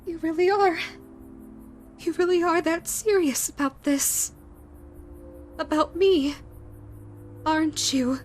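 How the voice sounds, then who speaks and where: A woman plays a shy, self-loathing ghost woman in a romantic audio drama. Her voice is high and slow, stammering and tender, with music playing behind her. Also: fairly even intonation. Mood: flustered, startled. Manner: stammering, hesitant, halting with repeated words. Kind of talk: romantic audio roleplay addressed to listener.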